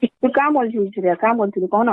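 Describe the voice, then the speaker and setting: A woman's voice: on the high side, moderate pace, a little hiss or hum. Defensive middle-aged woman on phone line. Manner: defensive, insistent, repetitive. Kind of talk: heated phone argument in colloquial speech. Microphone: phone call. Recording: dry, dull phone call recording.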